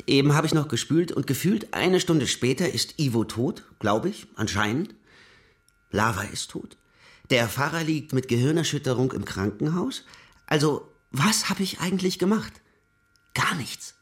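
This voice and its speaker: An actor playing a desperate, hapless debtor framed for murder in a crime radio play, agitated and insistent. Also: male voice, a little high, fairly bright tone. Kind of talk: German radio drama acting, first-person narration.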